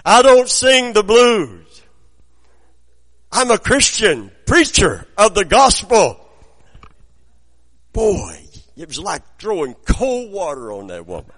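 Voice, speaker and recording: A raspy-voiced preacher, middle-aged, telling stories from his week in a sermon. His voice sits a little high for a man, and he drops to a murmur before rising to loud, ringing praise. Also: big pitch swings, slow, long pauses. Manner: swings between quiet storytelling and loud exclamations. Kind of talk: sermon with personal anecdotes.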